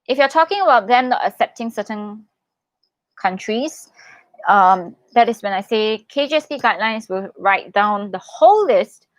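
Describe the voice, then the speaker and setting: Young woman doing a casual live q&a, chatty n expressive, reassuring, medium pitch, dry sound no echo. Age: young adult. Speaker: livestream host, international student in Korea. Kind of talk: casual live Q&A, reading out viewer questions.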